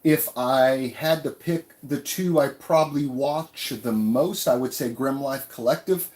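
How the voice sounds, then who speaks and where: A man's voice: medium pitch, measured pace, darkish tone. Easygoing man with a slight Texas twang. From California. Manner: easygoing, chatty, many fillers, reads viewer questions aloud. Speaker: travel vlogger. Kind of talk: casual live Q&A. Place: inside a small tiny house. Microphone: camera held for a livestream.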